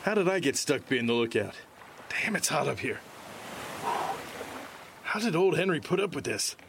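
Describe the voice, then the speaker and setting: An actor playing a worn-out sailor who mutters complaints to himself in a seafaring audio drama. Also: male voice, a little high, big pitch swings, moderate pace, creaky, background noise. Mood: grumbling. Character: grumbling, worn-out sailor on lookout duty.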